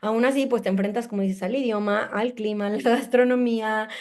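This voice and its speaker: A woman's voice: fairly high pitch, steady tone, a little fast, a little mellow. A woman interviewer, responding with empathy in a casual conversation and thinking aloud with fillers.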